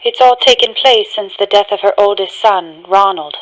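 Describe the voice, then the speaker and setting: A woman's voice: mid-range voice, normal speed, close to an everyday voice, rough recording. An actress playing a composed, formal woman answering a household telephone in a suspense radio play, polite and matter-of-fact. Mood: grave, matter-of-fact.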